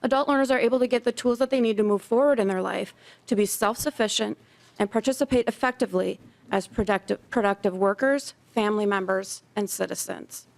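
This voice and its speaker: A woman's voice: mid-range voice, a little fast, vocal fry. A middle-aged woman, a community education director, reading a prepared statement in support of a bill at a legislative hearing. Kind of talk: prepared public testimony. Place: legislative committee hearing room.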